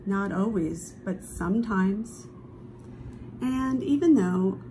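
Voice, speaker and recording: Slow-paced woman reading a storybook aloud. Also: mid-range voice, background noise. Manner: dramatic, drawn-out emphasis on key phrases. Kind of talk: expressive children's read-aloud. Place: indoors at a table, book held before camera.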